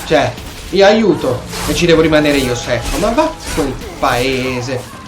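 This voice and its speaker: A man's voice: on the high side, expressive, moderate pace, music in the background. A man giving casual, blunt, colloquial commentary while playing a video game. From Italy. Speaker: gamer, video host.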